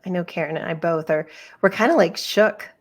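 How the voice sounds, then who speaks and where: Lady podcast host, kinda low voice, gushy and earnest, lots of "like" and "um". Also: brisk. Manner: earnest, effusive, lots of fillers. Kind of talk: casual interview chat.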